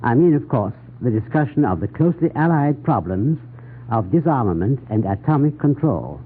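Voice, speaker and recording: A tinny vintage radio recording carries a man with a roughened, husky voice. He delivers formal 1940s news analysis with grave oratory and a widely moving pitch. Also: sing-song, normal speed.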